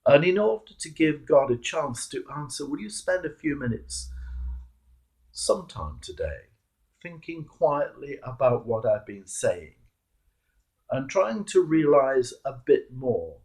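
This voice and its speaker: A British man giving a reflective sermon, telling stories and posing earnest, measured questions to his listeners. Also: medium pitch, big pitch swings, a little mellow. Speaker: clergyman giving a Holy Week reflection.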